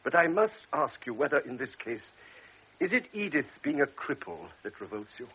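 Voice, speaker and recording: An actor playing a calm, authoritative older doctor in a radio drama, speaking in a measured, persuasive way. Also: male voice, medium pitch, slight background noise, lightly acted. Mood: grave. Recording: muffled old radio recording.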